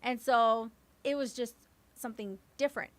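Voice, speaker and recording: A woman from the West Coast with a raspy voice, answering interview questions casually with lots of "you know.". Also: a little high, moderate pace. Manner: relaxed, many fillers like "you know". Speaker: guest hip hop artist.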